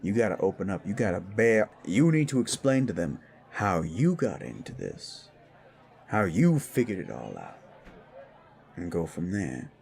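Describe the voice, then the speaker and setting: Seeking a man for a frank supernatural mentor in audio drama: unhurried, lowish creaky voice, blunt counsel. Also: big pitch swings, darkish tone, exaggerated voice. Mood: earnest counsel. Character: wise, frank male supernatural mentor in a bar. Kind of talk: scripted audio drama dialogue.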